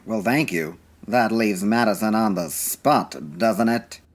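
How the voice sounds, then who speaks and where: A man's voice: on the low side, slow pace. A man playing a smug, shady gangster in a 1940s radio noir drama. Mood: smug, sardonic.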